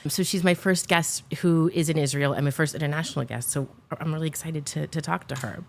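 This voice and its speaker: A young woman hosts a podcast and chats with a guest over a remote call. Her voice sits low for a woman. She sounds eager and talkative, with plenty of "like" fillers. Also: steady tone, brisk, gravelly, slight background noise. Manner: chatty, enthusiastic, many "like" fillers. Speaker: podcast host. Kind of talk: casual interview chat.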